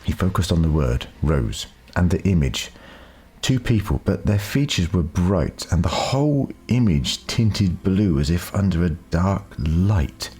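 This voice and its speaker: A man reading scripted story narration in a measured, deliberate way, with dramatic pauses. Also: low voice, animated, warm tone, husky. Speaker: audiobook narrator.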